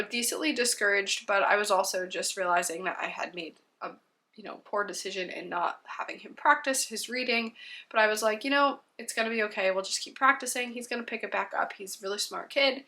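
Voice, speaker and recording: A woman's voice: medium pitch, pushed and bright. A woman in her thirties, congested from a cold, chatting casually to camera as a homeschooling mom, rambling with frequent ums.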